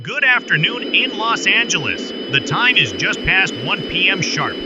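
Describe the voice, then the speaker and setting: A man's voice: high-pitched, big pitch swings, a little slow. A man playing a TV news anchor, delivering a scripted breaking bulletin in a formal, urgent, presentational manner. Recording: dull, very noisy, droning hum.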